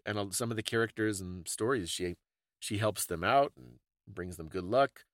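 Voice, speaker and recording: A man's voice: on the low side, moderate pace, no echo, clean studio sound. A man co-hosting a podcast, casually discussing folklore and stories in a thoughtful, explanatory way. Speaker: podcast co-host.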